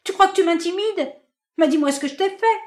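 A woman voicing a furious young woman, screaming shrill, high-pitched outbursts at her lover. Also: a little fast, a little mellow.

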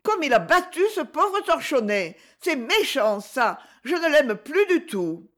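A woman's voice: high-pitched, sing-song, a little slow, a bit bright, pitched above the performer's own voice, full character voice. A woman reading aloud and voicing an indignant little boy.

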